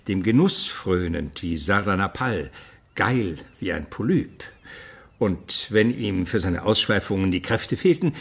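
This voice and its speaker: A lowish, husky-voiced Byzantine court dignitary in a radio drama. He is opinionated and emphatic, declaiming with very lively intonation. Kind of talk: German radio play acting.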